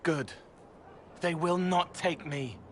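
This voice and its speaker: An actor playing an anxious, innocent young spirit in a video game, speaking in halting, dreamy fragments. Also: male voice, fairly high pitch, fairly bright tone, slight background noise. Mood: earnest, resolved.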